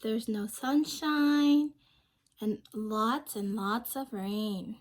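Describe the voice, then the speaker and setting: A woman's voice: a little high, very slow. A young woman reading a storybook aloud to children, speaking simply and expressively and prompting her listeners with questions. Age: young adult.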